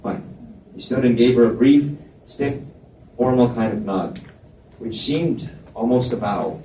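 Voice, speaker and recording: A man's voice: mid-range voice, measured pace, warm tone. A man reading scripted romance fiction aloud as the narrator at a live book reading. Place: library event space, indoors. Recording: echoey room, distant mic, faint noise.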